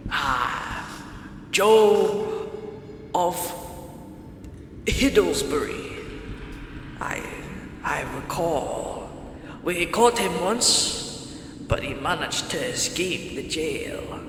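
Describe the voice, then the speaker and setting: A menacing Scottish torturer from medieval times, acted out by a man. He taunts slowly in a high-set male voice with choked, guttural consonants. The improvised roleplay sits in clear background noise. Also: animated, echoey room, long reverb tail, heavily acted. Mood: menacing interrogation. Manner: taunting, threatening, drawn-out delivery.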